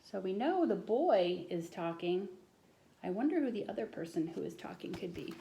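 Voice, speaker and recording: A woman's voice: medium pitch, big pitch swings, moderate pace, creaky, dark tone, a little hiss or hum. A woman reading a picture book aloud to children, like a teacher, with casual asides. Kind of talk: expressive storybook read-aloud with casual asides.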